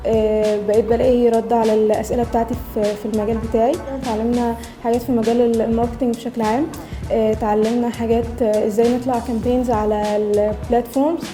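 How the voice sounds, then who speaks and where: A woman's voice: fairly high pitch, little pitch movement, very fast, dark tone. A 25-year-old woman with an Egyptian accent, answering a testimonial hesitantly with many filler sounds. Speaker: intern. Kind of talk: testimonial interview. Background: music.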